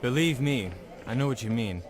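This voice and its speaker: A man's voice: mid-range voice, animated, moderate pace, crowd noise, natural voice. An actor playing a blunt, casual, cynical but friendly male buddy in a video game cutscene.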